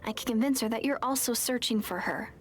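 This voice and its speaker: A woman's voice: fairly high pitch, fairly quick, music in the background, close to an everyday voice. An actress playing an earnest, hopeful young woman in a video game, speaking with sincere emotion. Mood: gentle. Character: earnest, hopeful young woman longing for her homeland's rescue. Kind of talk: dramatic video game voice acting.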